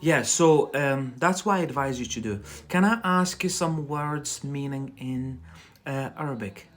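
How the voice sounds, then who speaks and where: An English teacher hosting a casual live lesson, speaking haltingly and repeating words as drills while chatting with viewers. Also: medium pitch. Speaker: English teacher, livestream host.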